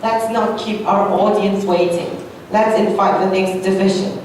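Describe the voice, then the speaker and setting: A woman's voice: fairly even intonation, measured pace, darkish tone. A woman hosting a stage event, announcing in a scripted, formal way. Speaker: event host. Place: large hall with audience. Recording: reverberant hall, distant mic, faint rumble.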